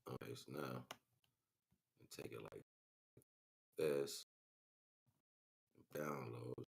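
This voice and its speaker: Male streamer, extremely low and creaky voice, loose unscripted delivery, rambling and slangy. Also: drawn-out words. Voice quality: gravelly. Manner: rambling, slangy, muttering to himself. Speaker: live streamer. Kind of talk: casual unscripted livestream chat.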